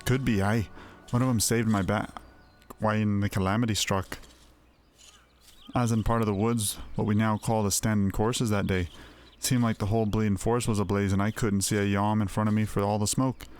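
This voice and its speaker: Rough, blunt stablehand, voiced by a man, low and folksy; soft game music under him. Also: level tone, moderate pace, lightly acted. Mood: storytelling.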